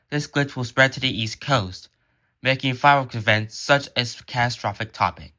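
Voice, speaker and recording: Bright-voiced man reading emergency announcements. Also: medium pitch. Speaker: emergency broadcast announcer and narrator. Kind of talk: scripted emergency alert narration.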